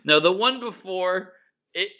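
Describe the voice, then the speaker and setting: A man's voice: high-pitched, expressive, a little slow, bright, forceful tone, muffled recording. A man co-hosting a casual podcast, giving opinions emphatically, with slang, repetition and rhetorical questions. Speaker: podcast co-host.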